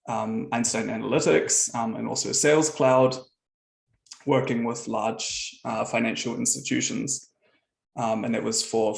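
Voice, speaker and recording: New Zealand guy, guest presenter; gravelly, relaxed, roomy video-call sound. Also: medium pitch. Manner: relaxed, conversational storytelling. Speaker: guest speaker, software founder. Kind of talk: online meetup presentation.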